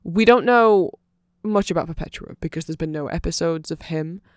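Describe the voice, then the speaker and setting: A man's voice: on the high side, moderate pace, clean tone, no echo, studio quality, lightly acted. A man voicing a nerdy vampire who gives a rambling, earnest and fannish ASMR explanation full of fillers. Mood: eager, chatty.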